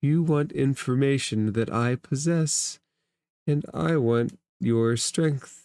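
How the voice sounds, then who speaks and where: A man voicing a condescending, deliberate merchant negotiator in a tabletop fantasy game. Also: medium pitch, dark tone, clean tone, dry recording. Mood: firm, bargaining.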